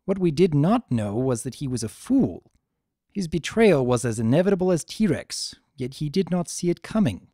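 A man's voice: fairly high pitch, very animated, moderate pace, warm tone, dry recording, studio quality. A man voicing a proud, formal pony princess, a concerned younger sister with archaic, emphatic speech in a dramatic story reading. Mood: scornful, emphatic.

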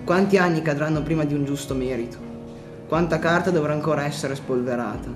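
A man's voice: a little high, brisk, reverberant, music in the background. A young man reciting a poem in a solemn, declamatory way.

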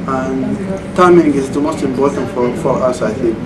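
A man in his thirties with a Saudi Arabian accent, a former student answering interview questions in halting English with frequent restarts, recorded in a computer lab. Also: medium pitch, steady tone. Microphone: far camera mic. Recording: distant camera mic, air conditioning hum.

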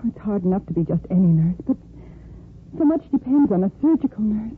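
An actress playing an exhausted, guilt-ridden young surgical nurse in a 1950s radio soap, halting and earnest. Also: female voice, medium pitch, expressive, lightly acted. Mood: anguished, guilty. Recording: muffled, noisy 1950s radio recording.

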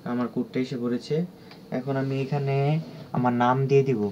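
A man with a Bangladeshi accent giving an unscripted app tutorial in a halting, repetitive, instructional way. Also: mid-range voice, little pitch movement. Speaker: tutorial presenter. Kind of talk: unscripted screen-recorded app walkthrough. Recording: dull lo-fi audio with clear background noise.

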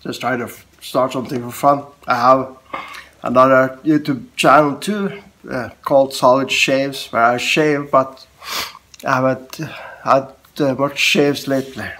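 A man in his forties with a cold, chatting casually in a low-key, halting way as he hosts a hobby unboxing vlog. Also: fairly even intonation, a bit bright. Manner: halting, low-key, short clipped phrases. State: has a cold. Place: small room at home, indoors, on a couch. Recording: clear background noise, handling clicks.

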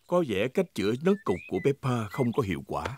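A man's voice: mid-range voice, wildly swooping pitch, a little fast, very noisy. A man playing a cheerful storybook narrator, reading scripted narration for a children's cartoon. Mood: storytelling.

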